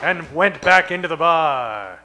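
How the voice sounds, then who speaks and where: A man's voice: fairly high pitch, lively intonation, measured pace, sharp tone. A man playing a comic old-time radio announcer, narrating and announcing with showy delivery. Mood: dramatic narration.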